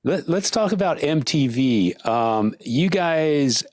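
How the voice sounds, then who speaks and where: A man hosting a podcast interview, enthusiastic and quick to laugh. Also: a little high, lively intonation, no room sound. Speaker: podcast host. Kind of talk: casual interview.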